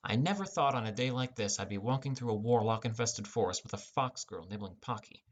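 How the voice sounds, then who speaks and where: A man's voice: on the low side, expressive, fairly quick. A young man reading a first-person fantasy audiobook as a scripted narration.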